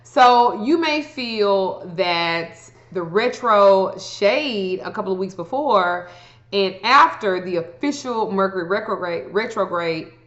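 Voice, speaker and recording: A woman's voice: mid-range voice, animated, slow, a bit bright. A woman vlogger chatting casually to viewers as she explains things, blunt and profane, with joking asides, in a muffled, echoey room recording. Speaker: astrology vlogger giving tips. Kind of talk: casual explainer chat to camera.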